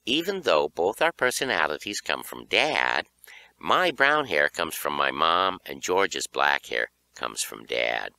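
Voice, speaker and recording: Guy narrating a kids horror audiobook, super expressive, smooth low voice, really dry recording. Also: measured pace, no room sound. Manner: animated, expressive delivery. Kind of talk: dramatic scripted storytelling for young horror fiction.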